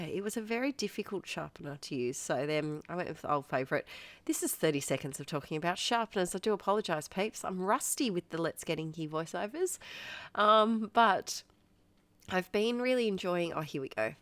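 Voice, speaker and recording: Low-voiced Australian woman, congested and stuffy, chatty rambling voiceover host, dry close recording. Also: a bit bright, no echo. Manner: chatty, rambling, self-deprecating, laughs often. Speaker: art channel host. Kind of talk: casual voiceover narrating an art process.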